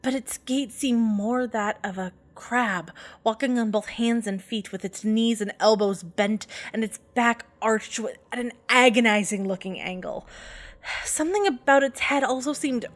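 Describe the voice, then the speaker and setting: A woman narrating a scripted first-person horror story in an expressive, dramatic storytelling manner. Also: on the high side, a little slow. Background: background music.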